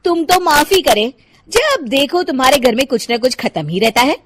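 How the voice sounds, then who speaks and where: A snappish cartoon housewife, acted by a woman, high and animated in pitch. She scolds haughtily and bluntly, quick to quarrel over small things. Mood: irritated.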